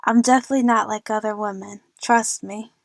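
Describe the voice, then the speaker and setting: A woman's voice: medium pitch, fairly even intonation, brisk, creaky, no echo, studio quality, close to an everyday voice. A woman playing a feisty, outspoken and impatient young fangirl in an amateur audio drama. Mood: light, reassuring.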